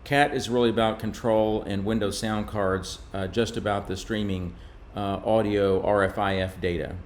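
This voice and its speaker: A man with a fairly low voice explains technical material as a presenter. His delivery is measured and informal, like walking through slides. Faint noise sits behind the voice. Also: steady tone. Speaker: presenter from a radio maker.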